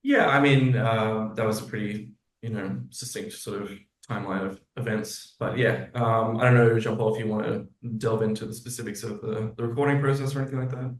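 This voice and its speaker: A man's voice: lowish voice, steady tone, brisk, hardly any pauses. A man chatting casually as a guest in an interview. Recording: echoey room, distant from the mic.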